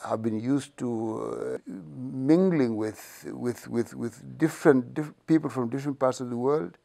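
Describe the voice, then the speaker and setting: A man's voice: medium pitch, measured pace, gravelly, warm tone. A middle-aged man speaking hesitantly in an interview, with many repeats and restarts as he reflects on his family. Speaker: parent interviewed about family.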